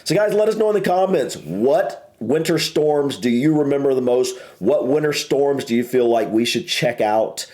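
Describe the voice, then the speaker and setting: American male host, hoarse, rambling, mellow tone. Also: medium pitch, expressive, normal speed, few pauses. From United States. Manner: rambling, hesitant, lots of fillers. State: losing his voice. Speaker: reaction video host. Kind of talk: casual reaction chat.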